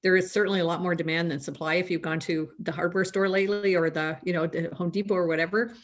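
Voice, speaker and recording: Canadian woman, slightly low, relaxed chatty expert on a call. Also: fast. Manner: chatty, laughs easily, restarts often. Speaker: risk management guest. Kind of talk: conversational expert explanation.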